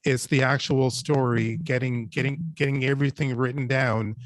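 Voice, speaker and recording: Male panelist, mid-range voice, halting and earnest, reflective discussion, very dry sound. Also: medium pitch, steady tone, no echo. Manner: halting, repeats and restarts phrases. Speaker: podcast writer and panelist.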